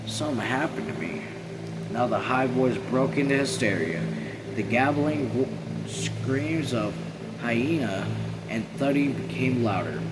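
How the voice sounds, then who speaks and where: A man reading a novel aloud as an amateur, haltingly, often rereading and correcting himself. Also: medium pitch, very noisy. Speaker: amateur reader.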